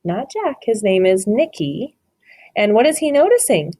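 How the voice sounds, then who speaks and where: A woman reading a picture book aloud to a child, stopping to ask questions, gentle and encouraging with dramatic storytelling. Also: medium pitch, big pitch swings, moderate pace, breathy edge.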